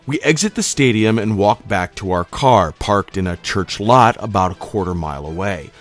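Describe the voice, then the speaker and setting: Male storyteller, low-pitched and very animated, emphatic and salty-tongued, scripted comic delivery over a music bed. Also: normal speed. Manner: dramatic, profane, emphatic. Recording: music playing under the voice. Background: background music.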